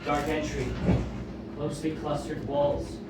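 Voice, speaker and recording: Actor as portentous male verse reciter for audio drama, slightly high voice, grim and solemn, buried in loud noise. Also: monotone, a little slow, natural voice. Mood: grim foreboding. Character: ominous man intoning doom-laden Victorian verse. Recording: very echoey, distant, loud background noise.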